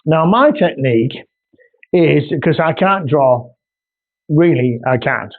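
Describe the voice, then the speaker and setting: A man's voice: on the high side, animated, slow. A man in his sixties with a North Yorkshire accent, an artist chatting casually and ramblingly about his work. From Australia, raised in North Yorkshire. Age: 60s. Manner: rambling, homely, with many ums and restarts. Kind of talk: casual video introduction. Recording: tinny phone-like recording.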